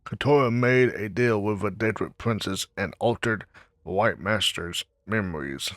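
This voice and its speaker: A man's voice: fairly low pitch, measured pace, a bit bright, dry recording. A man giving casual commentary while he plays a video game. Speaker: gaming video creator.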